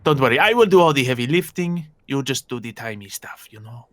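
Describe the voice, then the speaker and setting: A man playing a protective, reluctant guild leader in improvised game roleplay, instructing in a measured, slightly theatrical way. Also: mid-range voice, lively intonation, a bit bright, dry recording, natural voice. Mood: firm, reassuring. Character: protective, commanding male guild mentor with reluctant authority. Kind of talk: improvised Minecraft roleplay acting. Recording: background music under voices.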